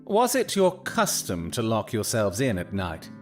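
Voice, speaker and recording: An actor playing a keen, analytical gentleman detective in an audio drama, questioning in a probing, deliberate way. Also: on the low side, wildly swooping pitch, soft background music. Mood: probing, thoughtful.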